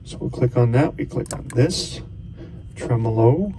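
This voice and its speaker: A man's voice: medium pitch, expressive, unhurried, mellow, slight background noise. A man giving a casual step-by-step tutorial, thinking aloud as he presses buttons and talking in loose, rambling phrases. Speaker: costuming hobbyist giving a tutorial.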